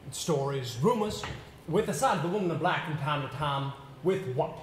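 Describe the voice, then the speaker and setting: A man's voice: a little high, expressive, moderate pace, lightly acted. Man acting an anxious haunted man, echoey stage. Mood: anxious, insistent. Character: Arthur, anxious, insistent man haunted by dreadful memories. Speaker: stage actor. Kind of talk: live amateur stage play acting. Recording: echoey, noisy amateur theater stage recording.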